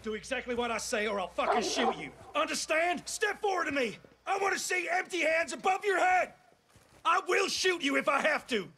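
A man's voice: very high-pitched, animated, moderate pace, background noise. An actor playing an aggressive, threatening armed policeman in a video game, barking commands. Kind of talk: cinematic video game acting.

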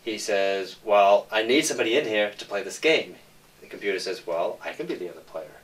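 A man's voice: fairly low pitch, animated, a little fast, distant mic, soft background music, low-quality recording. A man casually explaining a story idea, quoting the characters' dialogue back and forth.

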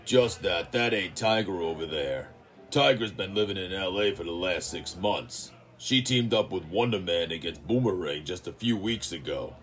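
Skeptical male heavy played by a man, deep and gruffly dismissive, comic-book dub over faint music. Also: expressive, moderate pace, a bit bright. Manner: blunt, contradicting. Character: skeptical, surly male henchman thug.

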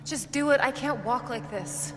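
A woman's voice: high-pitched, normal speed, noisy background, lightly acted. An American actress playing a young, guarded, injured female federal agent in a video game, terse and controlled. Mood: pained, urgent. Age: young adult. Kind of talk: cinematic video game dialogue. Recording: loud film score behind.

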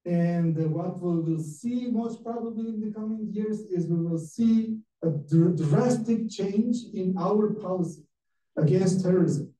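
A man from Turkey with a Turkish accent, giving an academic lecture as a guest speaker in a halting way, with many filler sounds. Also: high-pitched, warm tone. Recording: echoey room, mic at a distance.

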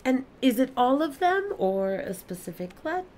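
A woman's voice: sits high, big pitch swings, moderate pace, a little mellow, a little hiss or hum. A woman acting in an audio play as an earnest, eager alien observer who speaks precisely and formally. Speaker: actor. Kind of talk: audio drama acting, log reports and dialogue.

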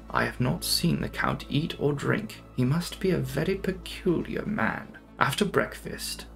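Gravelly, expressive male audiobook narrator. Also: medium pitch. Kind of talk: dramatic reading of a gothic novel.

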